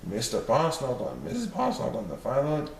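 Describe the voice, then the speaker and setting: A man's voice: medium pitch, wildly swooping pitch, quick pace, vocal fry. A man reading a children's story aloud as narrator. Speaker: storybook reader. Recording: roomy, distant mic, faint noise.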